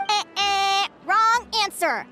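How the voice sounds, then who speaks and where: A woman's voice: extremely high pitch, slow pace, sharp tone, soft background music, heavily acted. A woman playing a cheerful young adventuring advisor in a fantasy game, lecturing in an encouraging, teacherly way. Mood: correcting, cautionary. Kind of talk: animated game dialogue, lecturing.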